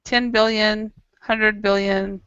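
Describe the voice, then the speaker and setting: A woman's voice: mid-range voice, flat delivery, slow pace, fairly bright tone, smooth, dry recording, muffled recording. A woman teaching an English class, running a quiz with students and reading an article aloud in a chatty, encouraging way. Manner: chatty, encouraging, laughs easily.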